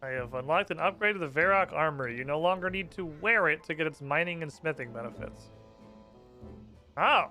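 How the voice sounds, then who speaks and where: A man with a bright, fairly high voice talks his way through a game. He mutters to himself and swears freely. Faint game music sits behind him. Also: animated, a little fast. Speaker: streamer. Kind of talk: casual gameplay streaming.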